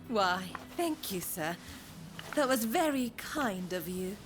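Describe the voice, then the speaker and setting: An actress playing a gracious, alluring geisha in a video game, speaking politely and formally. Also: female voice, mid-range voice, expressive, slow pace, soft background music. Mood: gracious, pleased. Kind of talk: video game cutscene acting.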